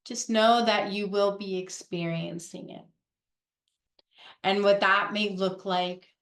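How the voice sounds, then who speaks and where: A woman leading a guided meditation in a gentle, unhurried way, pausing between phrases. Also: medium pitch, echoey room. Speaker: guided meditation leader, Reiki practitioner. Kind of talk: guided meditation, soothing instruction.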